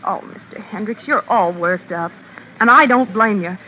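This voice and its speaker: A woman's voice: medium pitch, normal speed, narrow, tinny audio, close to an everyday voice. Female, actress playing catty showgirl, gushing and slangy, old radio drama, thin recording with faint noise. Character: gossipy, catty, flattering nightclub showgirl. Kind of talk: 1940s radio acting.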